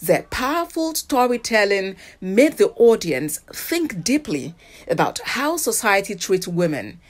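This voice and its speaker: A woman news reporter with a Nigerian accent narrates a scripted feature. Her intonation moves up and down in a lively way, at a medium pitch. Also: a little slow.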